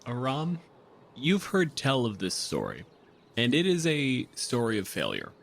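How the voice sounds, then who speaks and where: A man's voice: mid-range voice, huge pitch swings, unhurried, vocal fry, a bit bright, slight background noise. A man hosting as game master, telling the story conversationally with dramatic emphasis as he improvises. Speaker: game master and show host. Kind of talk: improvised tabletop game narration.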